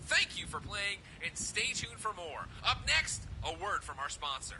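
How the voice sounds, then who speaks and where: A formulaic, courteous game operator and announcer played by a man. The voice is high and formal, with clear ambient noise behind it. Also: big pitch swings, moderate pace. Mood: showy courtesy. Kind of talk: game character acting. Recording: clear background hum and ambience.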